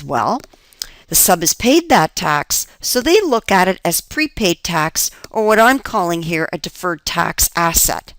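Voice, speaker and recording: A woman's voice: medium pitch, dry recording. A woman teaching as an accounting instructor, explaining methodically step by step and often posing questions that she then answers herself. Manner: step-by-step, asks and answers her own questions. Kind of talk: explanatory lecture for an instructional video.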